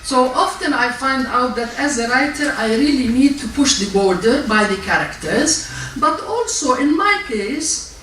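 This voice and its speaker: An older woman from Palestine, speaking as a writer on a festival panel, chatty and self-mocking with halting restarts. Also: high-pitched, lively intonation, fairly bright tone. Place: public library event hall. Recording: echoey hall, distant mic, faint noise.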